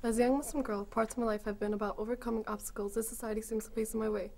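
Teen girl telling her story quick, earnest, kinda high and level. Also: fairly even intonation, gravelly, a little mellow, a little hiss or hum. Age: teens. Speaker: student. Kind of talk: personal testimony.

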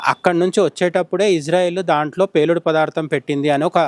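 A man's voice: a little high, steady tone, quick pace, dry recording. A man giving informal news analysis in conversation, explaining and often rephrasing as he goes. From India. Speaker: journalist interviewed as commentator.

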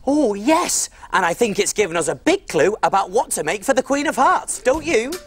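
A woman's voice: medium pitch, very animated, normal speed, lightly acted. A woman playing a cheerful cafe cook on a children's show, talking with enthusiasm.

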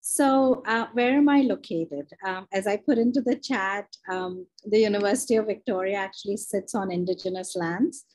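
A woman's voice: mid-range voice, moderate pace, hardly any pauses, darkish tone, low-quality recording. A young adult woman from India, a university librarian, presenting a webinar over a video call in a conversational way, with many fillers and self-corrections.

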